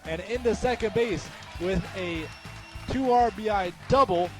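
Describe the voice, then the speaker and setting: A young American man calling live sports play-by-play for radio in an excited, emphatic manner. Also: high-pitched, a little slow, music in the background. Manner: excited, emphatic, rising to shouts on big plays.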